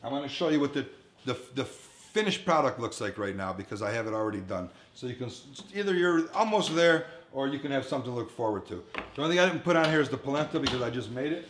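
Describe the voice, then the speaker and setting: A man's voice: medium pitch, expressive, quick pace, fairly bright tone. A man in his fifties talks as a chef hosting a cooking show. His delivery is informal and chatty, aimed at home cooks. The kitchen around him is echoey, with faint noise behind the voice. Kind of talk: casual cooking demonstration. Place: commercial-style kitchen, indoors. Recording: echoey room, some background noise, kitchen clatter.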